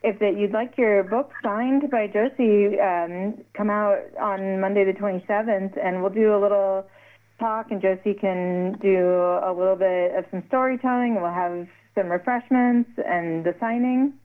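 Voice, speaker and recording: A woman reads out event details in a steady, informational voice at a middling pitch. She comes through a thin, tinny phone line. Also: normal speed. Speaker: event organizer.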